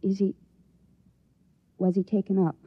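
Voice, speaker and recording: A woman's voice: mid-range voice, flat delivery, measured pace, husky, dry recording. Young female voice, earnest loyal daughter, 1950s radio drama, dry dull recording. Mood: subdued, defiant.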